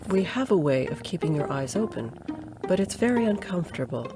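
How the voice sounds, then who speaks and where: A woman playing a coolly menacing, condescending nurse in an audio drama, speaking with soft, patronising menace. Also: on the low side, sing-song, brisk, music in the background. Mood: coaxing menace. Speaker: voice actor.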